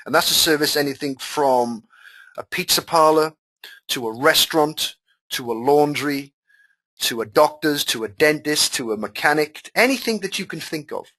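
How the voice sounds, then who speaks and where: A man's voice: fairly high pitch, animated, normal speed, pushed and bright. Welsh-speaking man coaching on a webinar. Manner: chatty, repetitive, reads questions aloud then answers. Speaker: webinar host and marketing coach. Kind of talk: live webinar Q&A.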